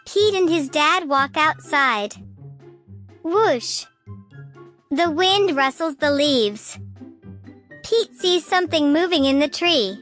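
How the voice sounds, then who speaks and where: High-pitched woman reading slowly to children. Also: animated, fairly bright tone, faint music behind. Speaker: storytime host. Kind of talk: children's picture-book read-aloud.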